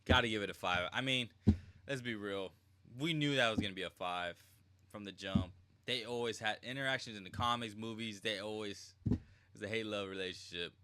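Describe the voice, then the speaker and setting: A man's voice: lowish voice, brisk, bright, forceful tone, slight background noise. A man streaming live reactions to a video game, speaking casually in a slangy, rambling way. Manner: slangy, rambling, mimics characters in quotes.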